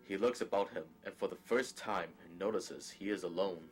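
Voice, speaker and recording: A man's voice: low-pitched, normal speed. A man narrating an audio drama, reading scripted stage action in the present tense.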